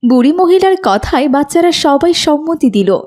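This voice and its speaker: A woman's voice: sits high, big pitch swings, normal speed, darkish tone. A woman reading scripted narration for a children's story as its narrator.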